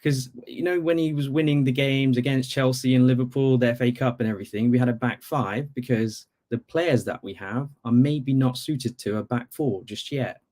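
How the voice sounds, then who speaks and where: A man's voice: medium pitch, moderate pace, hardly any pauses, mellow. A man from Bangladesh calling in to a podcast as a football fan, talking casually with many conversational asides. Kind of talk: casual sports chat.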